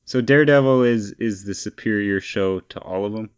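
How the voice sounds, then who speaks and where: A man's voice: on the low side, moderate pace, smooth. A man co-hosting a casual pop-culture podcast, talking in an opinionated, emphatic and rambling way. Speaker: podcast co-host.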